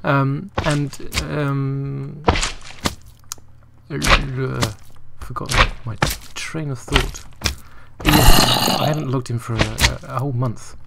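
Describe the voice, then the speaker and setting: A soft-spoken English man with an English accent rambles through casual gameplay commentary, stopping often for ums and uhs. His voice is mid-pitched with a gravelly, creaky texture. Loud noise sits under the recording. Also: fairly even intonation, takes it slowly. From England. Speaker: let's play host.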